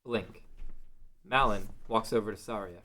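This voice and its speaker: A man's voice: fairly low pitch, unhurried, bright, forceful tone. A man reading scripted story narration as the narrator of a fantasy table read.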